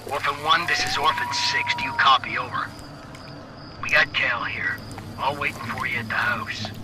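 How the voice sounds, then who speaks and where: A man's voice: medium pitch, huge pitch swings, moderate pace, noisy background. A man playing a businesslike soldier sending a radio message in a war video game, with clipped military procedure. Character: businesslike military radio caller giving a warning.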